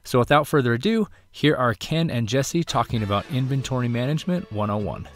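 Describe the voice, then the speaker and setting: A man's voice: mid-range voice, lively intonation, a little fast, faint music behind. A man hosting the show, reading a friendly scripted introduction to the episode.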